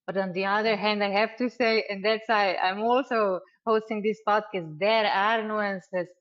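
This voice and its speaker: A woman's voice: mid-range voice, steady tone, a little slow, muffled recording. A woman with a Slovene accent hosting a podcast interview, effusive and friendly, with many fillers. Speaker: podcast host.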